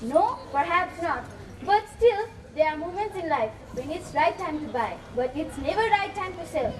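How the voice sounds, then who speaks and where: A very high-pitched, fed-up female homeowner played by a woman declaims her complaints in an amateur stage play. She is heard from far off, over a noisy audience. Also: animated, normal speed. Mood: resigned, reflective. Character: fed-up, businesslike homeowner anxious to sell. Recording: distant stage mic, crowd noise.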